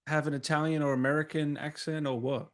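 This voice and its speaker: A man's voice: fairly high pitch, flat delivery, brisk. A man hosting a casual interview, chiming in with short eager replies and the odd swear word. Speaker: host.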